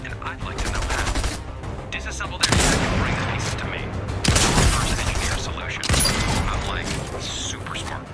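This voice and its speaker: Man playing a vain, wildly animated video game scientist. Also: mid-range voice, close to an everyday voice. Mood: smug, urgent. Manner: wry, theatrical, self-satisfied joking delivery. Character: vain, flippant male scientist and resistance leader, playful and sarcastic. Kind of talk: scripted video game character dialogue.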